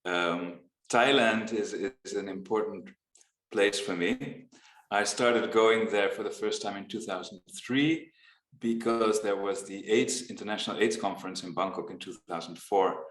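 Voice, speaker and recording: A man with a lowish voice reflects at an easy pace over a video call. He pauses often to find his words. His voice carries the echo of the room he is in. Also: steady tone, a bit bright. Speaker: foundation founder in conversation. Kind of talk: casual video-call conversation.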